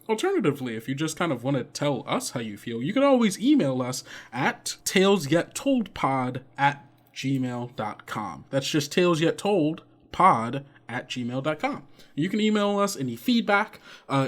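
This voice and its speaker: A man narrating a tabletop game as game master, talking in a relaxed, earnest way with plenty of fillers, then reading out podcast announcements. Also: mid-range voice.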